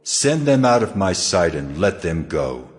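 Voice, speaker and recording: A man's voice: low-pitched, sing-song, a little slow. A man voicing God in a dramatized scripture reading, grave and commanding, passing stern judgment. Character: God speaking in stern, solemn judgment, male. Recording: long cavernous reverb.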